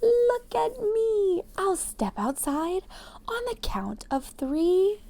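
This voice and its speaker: Woman voicing a high-pitched stubborn storybook groundhog. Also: lively intonation, slow, creaky, dark tone, heavily acted. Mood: showy, excited. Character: stubborn, grumpy male groundhog who warms to fun. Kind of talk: children's picture book read-aloud.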